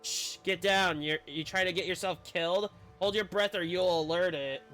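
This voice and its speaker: A man's voice: sits high, normal speed, sharp tone, soft background music. A man voicing a weary, bitter cast-out gladiator who now hunts to survive, in a video game story. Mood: urgent, hushed. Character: weary cast-out gladiator turned wary hunter.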